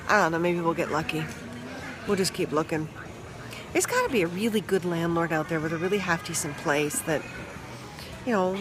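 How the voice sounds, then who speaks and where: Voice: mid-range voice, noisy background. A vlogger from Toronto, chatting casually with live viewers from a flea market stall, rambling with lots of restarts and trailing asides. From Toronto, Canada. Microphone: handheld phone. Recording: busy outdoor market crowd.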